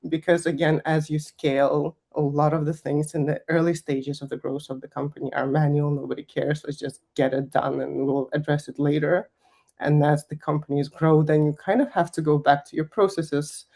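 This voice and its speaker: Candid young woman, warm mellow voice. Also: low-pitched. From Kazakhstan. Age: young adult. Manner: candid, laughs often, emphatic. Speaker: guest finance executive. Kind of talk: conversational interview answers.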